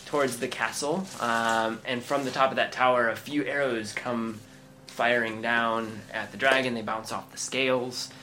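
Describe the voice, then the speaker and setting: A man runs a tabletop game as game master and narrates the scene in a halting way full of fillers. His voice is bright and forward. A faint hiss sits behind it. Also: mid-range voice, steady tone.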